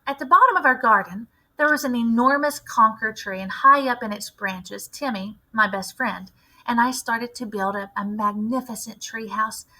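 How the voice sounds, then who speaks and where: A woman reading a storybook aloud like a teacher, with expressive, deliberate phrasing. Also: on the high side, poor audio.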